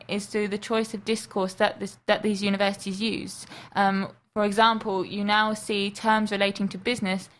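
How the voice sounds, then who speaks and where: Young female student, careful academic explainer on radio. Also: mid-range voice. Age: young adult. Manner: careful, explanatory, many ums. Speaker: student presenter. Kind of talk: scripted radio presentation.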